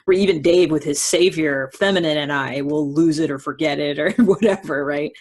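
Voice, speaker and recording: A woman hosting a casual interview chat, talking in a thoughtful, halting way that tends to trail off. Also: sits low, darkish tone. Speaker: host.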